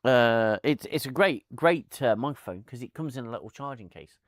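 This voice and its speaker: A man's voice: mid-range voice, expressive, normal speed, dry recording, studio quality. A man hosting a casual live-stream chat, rambling and laughing with many restarts, on a lavalier mic at home. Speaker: channel host.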